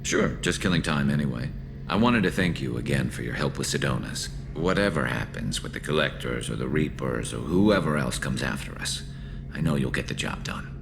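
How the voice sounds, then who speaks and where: A battle-weary alien soldier speaks in an extremely low, creaky voice over clearly audible ambient noise. He is a loyal rebel with a dry, measured wit, straight out of a sci-fi video game. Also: animated, heavily acted. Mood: wry, relaxed.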